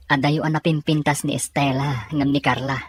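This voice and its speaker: A man acting a concerned friend giving frank advice in a radio drama, with a husky voice and a Filipino accent. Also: on the high side, little pitch movement, normal speed, no echo.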